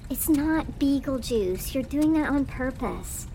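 A sulky young girl, acted out by a woman narrator, speaks in a high, petulant whine. She sounds like an exasperated daughter in an audiobook story, over clearly noisy background sound. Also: expressive, slow pace, warm tone, exaggerated voice. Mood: pouting protest. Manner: whiny, petulant. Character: sulky, whiny young girl, daughter embarrassed by her mother. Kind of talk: dramatised audiobook dialogue.

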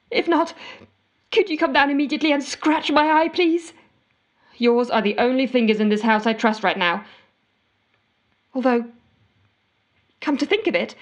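Frantic woman, higher voice, dramatic and exasperated audio-fiction monologue as a desperate phone call. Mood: pleading. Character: frantic woman desperate to wash her itchy hands.

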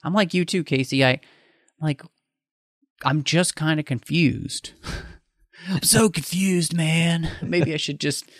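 A man's voice: a little high, fairly even intonation, slow pace, long pauses, dry recording, clean studio sound. A man hosting a podcast book discussion in an informal, playful, conversational way. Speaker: podcast host.

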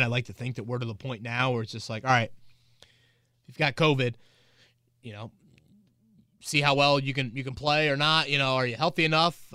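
A man's voice: mid-range voice, sharp tone, no echo, clean studio sound. A man hosting a casual sports podcast, talking in a chatty, rambling way with fillers and restarts. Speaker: podcast host and team reporter.

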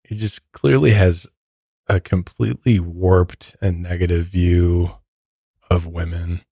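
A man's voice: low voice, fairly even intonation, slow pace, creaky, dark tone, husky, no room sound, clean studio sound. An American man hosting a true crime podcast, narrating and commenting in a casual, conversational, disapproving way. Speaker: podcast host.

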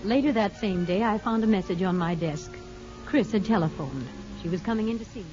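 An actress in a 1950s radio drama playing a firm, sensible parole officer who speaks in a measured, advising way. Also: female voice, medium pitch, darkish tone, soft background music, lightly acted. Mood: matter-of-fact. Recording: noisy, dull 1950s radio recording.

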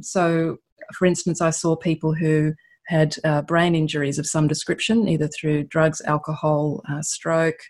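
A woman's voice: low voice, a little mellow. A woman with an Australian accent, a guest psychologist chatting in an interview and explaining with many ums. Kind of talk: casual interview talk.

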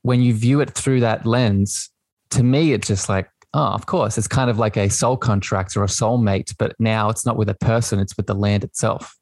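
A man's voice: on the low side, fairly even intonation, moderate pace, no echo, studio quality. A man hosting a podcast, talking in a gushing, spiritual way with his guest. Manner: warm, gushing, spiritual phrasing. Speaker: podcast host. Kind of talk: casual podcast interview.

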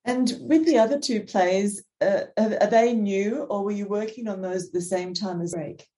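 A woman's voice: mid-range voice, moderate pace, dark tone. A woman interviewer asking hesitant questions over a video call, with fillers.

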